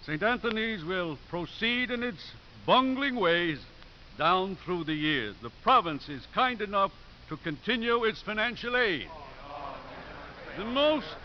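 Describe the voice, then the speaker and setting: A man playing a humble old priest in a 1950s radio drama, speaking in a measured, solemn voice. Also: high voice. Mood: formal, glad. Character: humble old priest, head of a struggling school. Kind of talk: 1950s radio drama acting, formal speech. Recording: hissy muffled 1950s radio recording.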